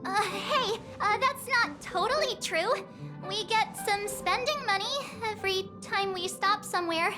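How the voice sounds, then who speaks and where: A woman's voice: very high-pitched, a little slow. A young actress playing a bubbly, teasing young woman who is a member of a train crew. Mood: wry. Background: game soundtrack music.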